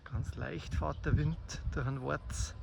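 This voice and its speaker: Slow, creaky Bavarian man improvising poetry. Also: on the high side, fairly even intonation. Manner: halting, short phrases with long pauses. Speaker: amateur poet. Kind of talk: improvised spoken poetry, slow reflective musing. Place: outdoors in the countryside. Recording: faint outdoor nature noise. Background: birdsong and rural ambience.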